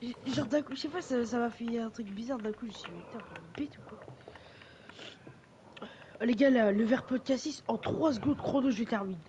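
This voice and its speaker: Excitable slangy woman, muffled noisy audio. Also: on the high side, brisk. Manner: excitable, slangy, addresses the group loudly. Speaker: vlogger talking with friends. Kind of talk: casual chat among friends.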